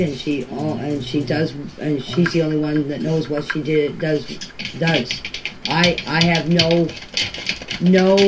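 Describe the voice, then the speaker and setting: An older woman with a Canadian Maritime accent speaks informally, answering questions and often repeating and rephrasing herself. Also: low-pitched. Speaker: family member speaking about a case. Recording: noisy amateur voice clips with clicks.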